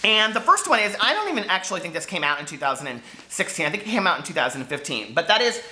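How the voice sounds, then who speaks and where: A man chatting casually to camera as a book vlogger, gushing and animated, wandering off on tangents with lots of filler words. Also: high voice, racing. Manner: gushing, digressive, peppered with fillers.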